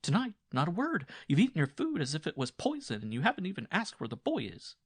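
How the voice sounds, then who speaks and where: A man's voice: a little high, wildly swooping pitch, quick pace, breathy edge, no echo, clean studio sound, voice pushed up, heavily acted. A man voicing a talkative, prying peasant wife in an audiobook story, chattering and insistent. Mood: exasperated. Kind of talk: dramatized audiobook dialogue.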